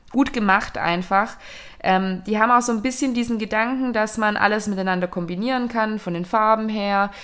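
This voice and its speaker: A woman co-hosting a fashion podcast, talking casually in a hesitant, rambling way with many fillers. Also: medium pitch. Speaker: podcast co-host. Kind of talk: casual chat.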